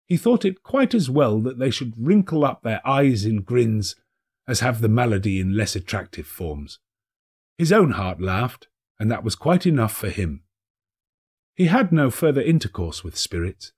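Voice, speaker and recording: A man narrating a classic story in a theatrical, expressive and emphatic scripted reading. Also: medium pitch, husky. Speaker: audiobook narrator, actor.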